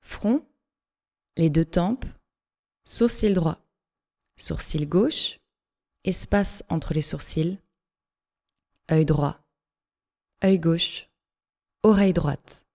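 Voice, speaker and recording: A woman yoga instructor leading a guided relaxation meditation, giving measured instructions in short phrases with pauses between them. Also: on the low side.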